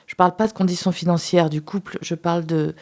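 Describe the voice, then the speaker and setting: A woman who is a sex therapist, a guest on a radio talk show, speaking in a measured, thoughtful way with some hesitation. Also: on the low side, steady tone, darkish tone. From France.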